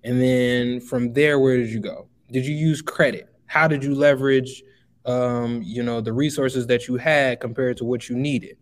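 A young man in his twenties from Chicago talks as a podcast guest. He speaks emphatically, in a motivational tone, and keeps calling the listener bro. His voice sits at an average pitch for a man. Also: steady tone, moderate pace. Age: 20s. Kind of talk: casual podcast interview.

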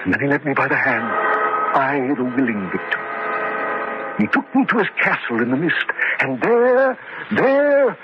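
An actor playing a haunted, proud scientist in a melodramatic horror radio drama, speaking deliberately with dramatic pauses. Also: male voice, medium pitch, sing-song, close to an everyday voice. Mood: haunted, spellbound. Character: grown scientist prodigy, haunted, proud, intense former pupil. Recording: muffled, noisy radio drama recording.

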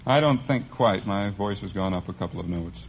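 A man's voice: low-pitched, brisk. A man of about thirty with an American accent, telling stories conversationally as a quiz contestant. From Los Angeles. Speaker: quiz contestant, amateur singer. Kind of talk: conversational storytelling. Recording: muffled, noisy 1950s radio recording.

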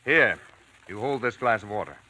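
An actor playing a middle-aged plant doctor in an old radio drama, fatherly, bossy and gently scolding. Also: fairly low pitch, lively intonation, normal speed, full character voice. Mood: exasperated. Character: brisk, fatherly male plant doctor, gruffly reassuring. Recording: noisy vintage radio recording.

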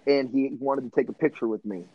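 A man's voice: mid-range voice, quick pace, dull audio. A man in his thirties, a guest on a podcast, chatting casually and joking about himself with frequent laughs. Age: 30s. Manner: laughs often, self-mocking jokes. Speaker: guest, artist.

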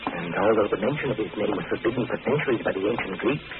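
A middle-aged little man with grandiose obsessions played by a male actor in 1940s radio style. His lowish voice swings widely as he muses theatrically. The recording is tinny and very noisy. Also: lively intonation, racing, lightly acted. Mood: musing, philosophic. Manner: musing, theatrical monologue with swelling intensity. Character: gentle-seeming, graying little man turned obsessive would-be destroyer. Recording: tinny old radio recording, heavy noise.

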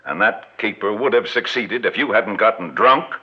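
An actor playing a smooth, pompous con man in an old-time radio drama, speaking in a grandiloquent, self-assured way. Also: lowish voice, normal speed. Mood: stern. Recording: muffled old radio broadcast recording.

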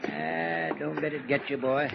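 An actor playing a gruff, talkative old fishing boat skipper, blunt and teasing, in a 1940s radio drama. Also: male voice, fairly high pitch, a little slow. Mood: blunt, needling. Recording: noisy dull 1940s radio recording.

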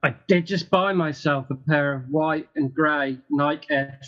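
Man, 50, from the UK, podcast guest, dry humor, somewhat high voice, dull lo-fi sound. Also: a little slow, fairly bright tone. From United Kingdom. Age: 50s. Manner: self-deprecating, dry jokes. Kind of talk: casual podcast chat.

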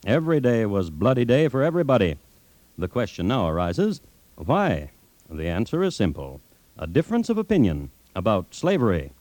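An actor playing a dry, macabre true-crime host, narrating deliberately with wry pauses in an American accent on old-time radio. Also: male voice, fairly low pitch, wildly swooping pitch, darkish tone, dry recording, poor audio. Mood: wry, grave. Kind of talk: 1950s radio scripted narration.

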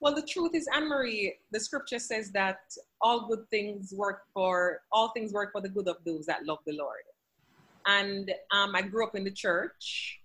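A woman's voice: medium pitch, normal speed, a bit bright, poor audio. Woman, podcast guest, earnest, quotes scripture, home office, remote call. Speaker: podcast guest, language educator. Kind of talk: conversational interview answers.

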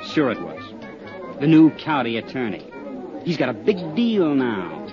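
A dull, vintage 1950s radio recording of a slangy roadhouse owner. He is a wisecracking, evasive hustler from a detective drama, with a mid-pitched voice. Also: big pitch swings, measured pace, music in the background. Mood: wary, evasive.

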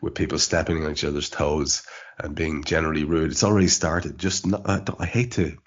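A man's voice: very deep voice, fairly even intonation, fairly quick, slightly rough. A man hosting a podcast from home, congested and sniffling from allergies, talking in a wry, rambling way full of fillers. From Ireland. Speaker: podcast host. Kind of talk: casual chat. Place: home studio in rural Ireland. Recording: dull lo-fi podcast audio.

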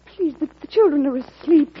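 A woman's voice: sits high, moderate pace, natural voice. A woman acting an anxious, protective wife in an old-time radio drama, nervous and pleading. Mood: frightened, pleading. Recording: dull, noisy vintage radio recording.

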